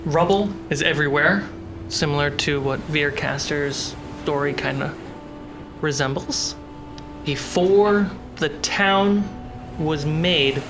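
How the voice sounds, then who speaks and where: A game master narrating a tabletop role-playing game in a measured way, pausing between phrases, with music behind the voice. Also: medium pitch, background noise. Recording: background music under the voice.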